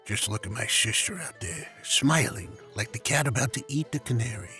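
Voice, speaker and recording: An actor playing an aging crime boss in an animated comic, a gravelly, menacing and protective father. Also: medium pitch, normal speed, soft background music. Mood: brooding, fatherly.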